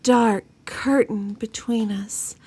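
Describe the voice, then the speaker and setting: A woman reading a novel aloud in a drawn-out, melodramatic way. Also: fairly high pitch, fairly even intonation, darkish tone, husky. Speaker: story reader.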